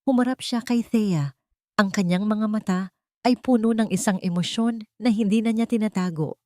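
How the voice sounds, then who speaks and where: A woman narrating a scripted audio drama in a measured, sentimental storytelling manner. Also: medium pitch, warm tone, dry recording, studio quality.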